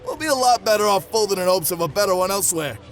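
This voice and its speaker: A man's voice: sits high, animated, racing, soft background music, a faint crowd. A man voicing a level-headed, wry goblin leader with a smug, folksy manner in a fan audio drama. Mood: calm, persuasive.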